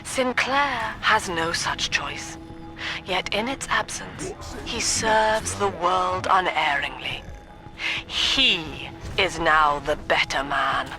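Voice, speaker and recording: Slow-spoken, cold British cult leader. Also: female voice, fairly low pitch, music in the background, rough recording. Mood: bitter, accusing. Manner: measured, solemn, preachy formal diction. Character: cold, zealous cult-leader mother, controlling and severe. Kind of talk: video game villain monologue.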